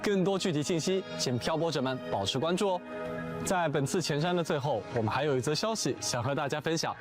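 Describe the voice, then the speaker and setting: A man's voice: on the high side, fast, over music. A man presenting a scripted promotional broadcast in a polished, upbeat way. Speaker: announcer.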